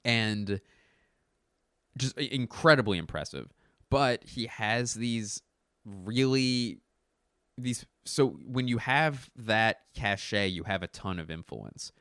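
Low-voiced hoarse comedian, throat-clearing. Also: male voice, animated, slow pace, fairly bright tone, dry recording, studio quality. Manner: rambling, self-correcting, profane. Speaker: stand-up comedian. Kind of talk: casual talking-to-camera commentary. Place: at home.

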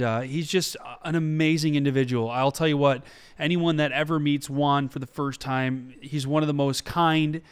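Upbeat, higher-voiced male podcast host. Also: clear, resonant tone. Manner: upbeat and energetic, rising excitedly when greeting friend. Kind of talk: podcast interview, enthusiastic intro.

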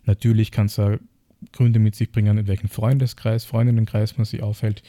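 A man's voice: fairly low pitch, flat delivery, fairly quick, warm tone, no echo. An Austrian man co-hosting a podcast, explaining reflectively in casual, piecemeal phrases with rhetorical questions. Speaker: podcast co-host.

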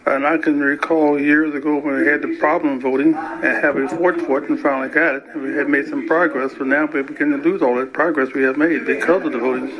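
Older man, fairly high pitch, interview answers, clear crowd chatter behind. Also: steady tone, fast, hardly any pauses, low-quality recording. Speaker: civil rights organization president.

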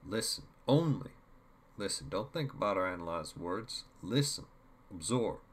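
A man voicing a calm, commanding male mentor in a story, measured and instructive, teasing and cryptic. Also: low voice, breathy edge, exaggerated voice.

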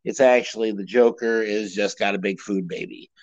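A man's voice: on the low side, fairly even intonation, moderate pace, no echo, dull audio. A man co-hosting a podcast in casual chat, rambling with many fillers and laughing often. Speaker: podcast co-host.

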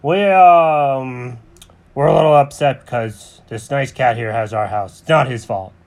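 A man's voice: mid-range voice, a little slow, darkish tone, lightly acted. A man voicing an earnest, mild-mannered leader pigeon who explains things hesitantly in a children's story. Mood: mildly upset.

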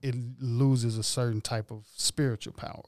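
A man's voice: medium pitch, steady tone, moderate pace, darkish tone, no echo. A man, a musician guest, talking casually and rambling in slangy speech about his music. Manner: laid-back, rambling, slangy. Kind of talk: casual interview talk.

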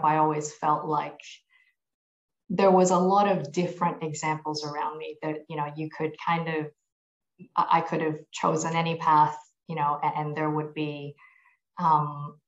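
A woman's voice: deep voice, roomy sound, lo-fi sound. A woman guest on a podcast interview, answering thoughtfully with frequent ums and restarts. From grew up in Malaysia, US and New Zealand. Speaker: podcast guest, tax executive.

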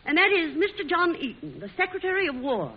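Fiery, high-pitched defiant woman. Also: sing-song, fairly quick. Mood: determined. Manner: indignant, sarcastic, outspoken. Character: fiery, defiant, sharp-tongued woman newspaper publisher on trial. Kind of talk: old-time radio drama acting. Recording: hissy, muffled old radio recording.

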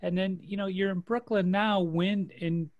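A man's voice: sits high, fairly even intonation, moderate pace, lo-fi sound. A man co-hosting an interview, speaking hesitantly as he searches for words. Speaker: co-host. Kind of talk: casual interview chat.

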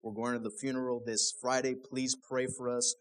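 A man's voice: mid-range voice, level tone, fairly quick. A young man, a pastor, preaching a sermon in a casual, conversational way, full of rhetorical questions.

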